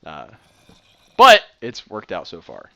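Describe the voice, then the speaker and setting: A man in his thirties, excitable and rambling, chatting casually while playing a building game. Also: on the low side, dry recording. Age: 30s. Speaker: Minecraft builder and streamer. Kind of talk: casual commentary while gaming.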